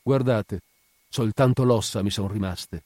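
A man's voice: lowish voice, unhurried, a little mellow, dry recording, clean studio sound. A man voicing an anguished, fiery married woman in a story, pleading and bitter with remorse. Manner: pleading, impassioned, vehement outbursts. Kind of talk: dramatic audiobook character reading.

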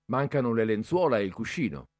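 A man's voice: medium pitch, big pitch swings, a little fast, darkish tone, no room sound, clean studio sound. A man voicing a shy, anxious young boy who speaks timidly and politely.

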